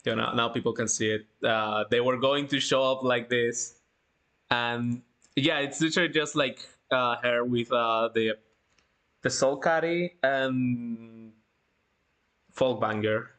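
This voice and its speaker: Casual male livestreamer, halting and repetitive. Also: medium pitch, fairly bright tone. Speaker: livestream host.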